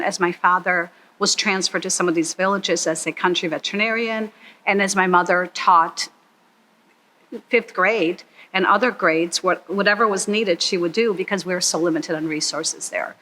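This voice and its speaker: A middle-aged woman gives an earnest, heartfelt testimonial in an interview. Her voice is bright and crisp, and her pitch sits in an ordinary middle range for a woman. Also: slight background noise, low-quality recording. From Croatia. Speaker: donor and supporter of a charity.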